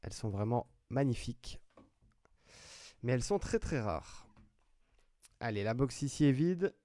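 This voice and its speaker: A man's voice: medium pitch, animated, a little slow, mellow. A man hosting a trading card break, chatting casually and announcing each player pulled as he opens packs. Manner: chatty, announces player names one by one, fillers and restarts. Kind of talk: live commentary while opening card packs.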